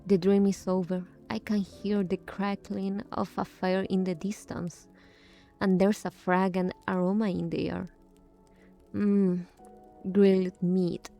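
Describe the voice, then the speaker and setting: Slow-paced woman reacting to a game. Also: mid-range voice, flat delivery, gravelly, dark tone, soft background music. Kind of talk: casual game commentary.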